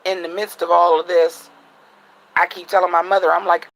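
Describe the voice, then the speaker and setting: Man, high-pitched, gravelly, a little raspy, profane, blunt casual vlog rant. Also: little pitch movement, normal speed, fairly bright tone, a little hiss or hum, rough recording. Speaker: vlogger. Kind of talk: casual venting monologue. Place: at home at night, in the dark.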